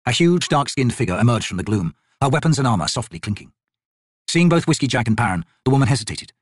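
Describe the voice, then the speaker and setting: A man's voice: medium pitch, very animated, fast, dry recording, clean studio sound. A man, an actor, reading fiction aloud as dramatic scripted narration.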